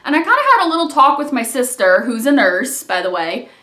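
Chatty high-voiced storyteller in an echoey room. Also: big pitch swings, fairly quick, sharp tone. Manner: chatty, rambling, drops to mumbles and asides. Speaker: vlogger. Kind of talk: casual storytime vlog. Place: small living room, seated on a couch. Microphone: camera on a tripod a few feet away.

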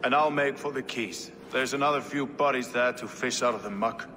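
A man's voice: medium pitch, moderate pace, over music, natural voice. A man playing a world-weary warrior in a video game, plain-spoken and a little wry. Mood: grim. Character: grim, world-weary male Viking-age city warrior. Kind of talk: video game cutscene acting.

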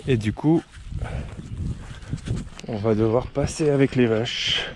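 A man filming from his kayak outdoors on a river, talking in a casual, excited way and swearing now and then, picked up by an action camera on the boat. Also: medium pitch, slow. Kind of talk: casual outdoor vlog chat. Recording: loud river and wind noise.

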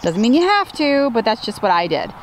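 A woman's voice: a little high, big pitch swings, a little fast, a little hiss or hum, low-quality recording. A woman in her thirties, a flower farmer from upstate New York, chatting upbeat to viewers as she walks her field outdoors with a handheld camera. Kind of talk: casual farm tour vlog. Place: outdoors in open farm field.

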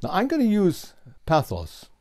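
An older man coaches an audience in public speaking with a fairly high voice that swings very widely in pitch. He tells stories emphatically and theatrically, setting off key words with deliberate pauses. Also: wildly swooping pitch, darkish tone. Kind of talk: keynote lesson, rhetorical storytelling. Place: small home office with bookshelves.

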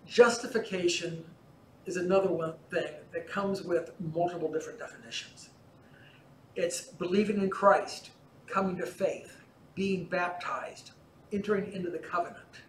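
A man's voice: high-pitched, moderate pace. Man lecturing in an echoing church. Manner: relaxed explaining, gesturing as he talks. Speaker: teacher giving a parish class. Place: large church interior in front of icons. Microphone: far camera. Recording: roomy church with faint hum.

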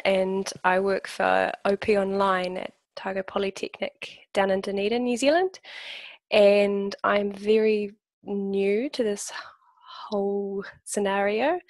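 Young woman, flat dry headset voice. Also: medium pitch, dry recording. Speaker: course participant. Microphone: headset with boom mic.

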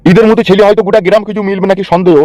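A man's voice: high-pitched, steady tone, rapid-fire, warm tone, no room sound, natural voice. A man voicing a hot-headed, foul-mouthed village uncle in a comedy cartoon, with a blustering manner and crude slang. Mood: persuasive.

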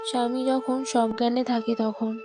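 A woman's voice: fairly high pitch, level tone, moderate pace, music in the background. A woman reading scripted storytelling narration for a cartoon moral tale.

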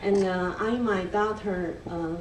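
A woman's voice: mid-range voice, steady tone, takes it slowly, slight background noise. Nervous Chinese-accented woman in echoey hall. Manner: hesitant, many fillers and restarts, nervous laughs. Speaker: church member giving a testimony. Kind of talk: halting personal testimony. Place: large church hall indoors. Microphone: handheld mic, picked up from a distance. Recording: echoey hall recorded from far off.

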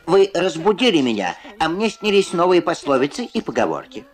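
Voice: medium pitch, very animated, a little fast, voice pushed up, natural voice. A performer voicing a fussy, pompous female wagtail bird who preaches proverbs in a children's cartoon. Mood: grumpy, boastful. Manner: pompous, sententious. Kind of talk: children's puppet cartoon dub. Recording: old film soundtrack with music.

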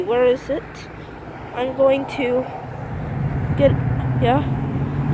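A woman giving a halting, casual walk-through commentary as an amateur vlogger outdoors, often trailing off, on noisy handheld camera audio. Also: high voice. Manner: halting, trailing off mid-sentence. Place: outdoors in an empty parking lot. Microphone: handheld camera held by the speaker.

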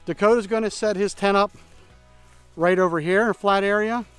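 A middle-aged man talks in a relaxed, rambling way while out on the trail, filling gaps with little fillers as he goes. His voice sits fairly high for a man. He is recorded outdoors in a forest on a close camera, with faint music behind him. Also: fairly quick, clean tone, no room sound. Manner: relaxed, chatty, thinking aloud with fillers. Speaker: backpacking vlogger. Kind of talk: casual trail vlog narration. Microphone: camera at close range.

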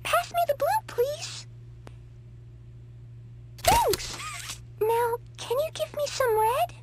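A woman's voice: very high voice, expressive, slow pace, heavily acted. A woman voicing a cutesy childlike unicorn toy in a horror video game, sweet but demanding. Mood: sweet, cheerful. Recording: noisy game sound capture.

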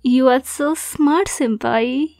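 Woman acting a slow, sweetly obsessive yandere girl. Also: high-pitched, darkish tone, dry recording, clean studio sound, faint music behind. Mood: playful, possessive. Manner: slow, cutesy, teasing, breathy asides. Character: obsessive, sweet-menacing young yandere girlfriend kidnapper. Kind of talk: roleplay ASMR.

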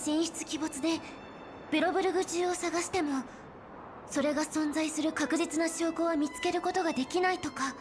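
A woman voicing a calm, low-key young female explorer in a Japanese anime game, plain and matter-of-fact in manner. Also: moderate pace, lightly acted. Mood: quietly intrigued. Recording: clean studio voice over game music.

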